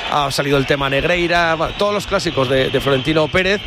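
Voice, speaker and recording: A man with a Spanish accent from Spain hosting live sports talk, musing haltingly and stretching out words. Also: fairly high pitch, noisy background. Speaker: sports radio host.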